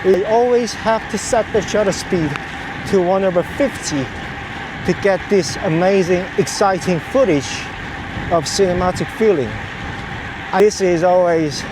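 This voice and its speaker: Male cycling vlogger, high voice, explanatory and halting delivery, recorded outside with noticeable vehicle noise. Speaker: vlogger, cyclist testing camera gear. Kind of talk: explanatory vlog talk while riding. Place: outdoors on the road while cycling. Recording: clear outdoor road and traffic noise. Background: ambience and vehicle noise.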